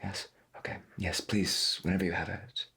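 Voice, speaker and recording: A man playing a veteran late-night paranormal radio host in a soft-spoken 1990s AM radio roleplay, with a breathy, gravelly voice and a calm, musing, florid way of talking full of pauses. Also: low-pitched, a little hiss or hum. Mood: hushed, intrigued.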